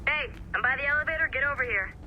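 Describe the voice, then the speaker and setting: Lady acting a blunt snarky young tech in a game, talks fast, noisy background. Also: high voice, dull audio, natural voice. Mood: impatient, bossy. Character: brusque, sarcastic, impatient young computer technician. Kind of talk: video game character dialogue.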